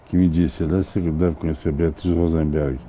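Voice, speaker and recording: A man's voice: a bass voice, level tone, moderate pace, warm tone, a little hiss or hum. A man hosting a television interview, asking long, rambling questions that wander through side remarks. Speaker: TV interviewer and host. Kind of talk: casual TV interview.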